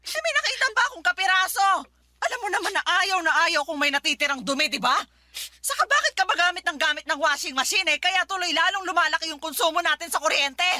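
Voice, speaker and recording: A woman's voice: very high voice, animated, quick pace, pushed and bright, no room sound, clean studio sound, full character voice. A woman playing an irritable older Filipino mother who scolds and complains in a radio drama. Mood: irritated, scolding. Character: nagging older mother, irritable, strict about housework.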